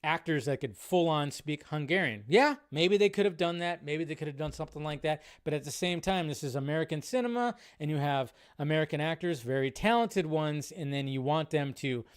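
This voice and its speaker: A man's voice: a little high, animated, fairly quick, hardly any pauses, clean tone, no echo, studio quality. A man commenting on film news in a casual way, reading articles aloud and stumbling over names.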